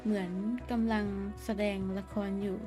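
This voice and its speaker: Very fast-talking woman game streamer. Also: medium pitch, level tone, warm tone. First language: Thai. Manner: blunt, slangy, profane. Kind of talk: casual gameplay reactions and commentary. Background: game music.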